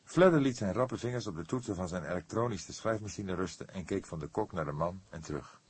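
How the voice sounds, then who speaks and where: Male audiobook narrator for crime fiction: deep register, raspy edge, expressive scripted reading, very dry recording. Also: a little fast, dry recording.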